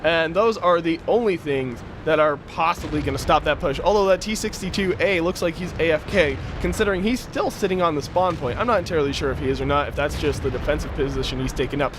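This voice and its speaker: Young guy just woke up so kinda raspy, chatty game commentary, bit higher voice, noise behind him. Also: fast talker, hardly any pauses. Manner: casual and chatty, with frequent fillers. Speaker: gameplay commentator.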